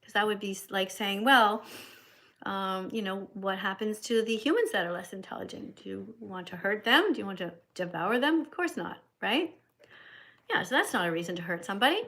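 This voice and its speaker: A woman's voice: medium pitch, expressive, brisk, sharp tone. A woman hosting a casual livestream, chatty as she reads viewer comments aloud. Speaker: livestream host.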